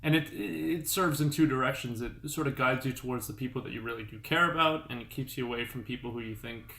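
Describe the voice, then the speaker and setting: A man's voice: mid-range voice, quick pace, sharp tone. A man in his twenties, a podcast guest, chatting in a joking, rambling way with many fillers. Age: 20s. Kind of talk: casual chat. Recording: echoey room with faint hiss.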